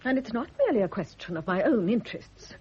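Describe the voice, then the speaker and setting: An actress playing a bitter, righteous, suspicious nurse, indignant and insinuating, in a radio drama. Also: female voice, a little high, big pitch swings, quick pace, mellow, husky. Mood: righteous accusation. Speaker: private nurse. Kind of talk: 1970s radio drama acting. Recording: dull lo-fi old radio recording.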